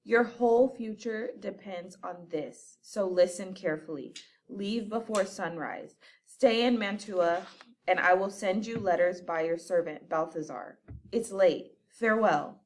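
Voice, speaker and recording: A woman's voice: mid-range voice, a little slow, a little hiss or hum, close to an everyday voice. A woman voicing a stern, fatherly older male friar who scolds and advises in a scripted audio drama. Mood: earnest. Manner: scolding, urgent, lecturing. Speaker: voice actor.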